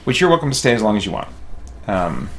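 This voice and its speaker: A middle-aged man rambling casually in a halting way, thinking aloud as he hosts a game stream. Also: lowish voice, huge pitch swings, slight background noise. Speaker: live stream host. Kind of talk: casual chat while playing a game.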